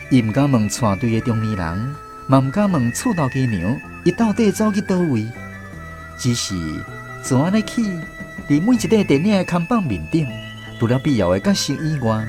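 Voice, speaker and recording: A man with a Taiwanese accent narrating a radio drama as a storyteller. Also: medium pitch.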